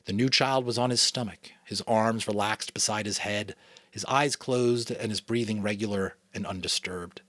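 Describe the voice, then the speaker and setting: A man from New England reading a novel aloud as a father, in a dramatic, expressive narration style. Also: fairly low pitch, normal speed, no room sound, clean studio sound. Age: adult, a father. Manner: expressive, varied delivery. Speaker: audiobook reader.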